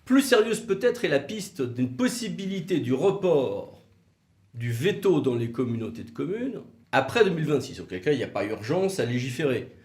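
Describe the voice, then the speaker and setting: A man's voice: mid-range voice, very animated, moderate pace, a little mellow. A man giving a didactic legal explanation, posing rhetorical questions and stressing key words. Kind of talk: explanatory legal video talk, semi-scripted. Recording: roomy, echoey room sound.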